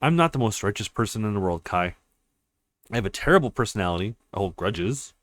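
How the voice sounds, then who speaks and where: A man's voice: fairly low pitch, sing-song, a little fast, a bit bright. A man voicing a bitter, blunt young woman fighter from a visual novel, with a reproachful way of talking.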